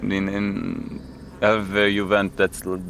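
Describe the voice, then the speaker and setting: Low-voiced Bosnian man, halting English. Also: completely flat delivery, noisy background. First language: Bosnian-Serbo-Croatian. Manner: halting, repeats "you know". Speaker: interviewee. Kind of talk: casual interview answers.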